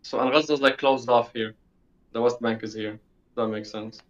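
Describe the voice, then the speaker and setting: A man's voice: medium pitch, steady tone, moderate pace. Teenage male, from the UAE, casual interview guest, informal, emphatic repetition, muffled band-limited sound. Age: teens, sixteen. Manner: informal, repeats himself for emphasis.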